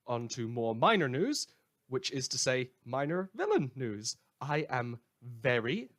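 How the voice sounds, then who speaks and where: A man's voice: fairly high pitch, fairly bright tone, full character voice. A man playing a sardonic, theatrical radio news host in a dystopia, delivering satirical scripted bulletins with emphatic mock cheer. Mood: sarcastic.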